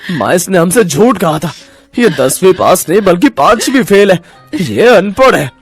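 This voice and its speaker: Cartoon story role: man as hot-tempered young husband, emphatic, fairly high, very animated. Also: normal speed, dry recording, soft background music. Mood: furious, scornful. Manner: emphatic, scornful when crossed.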